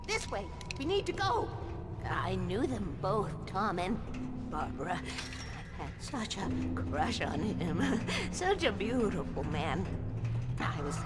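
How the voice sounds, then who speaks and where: An actress in a video game playing an eccentric, fearful older woman who talks in cryptic, urgent bursts and whispers. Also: fairly low pitch. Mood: hurried. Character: eccentric, fearful older woman, obsessive guardian against darkness. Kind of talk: dramatic video game acting.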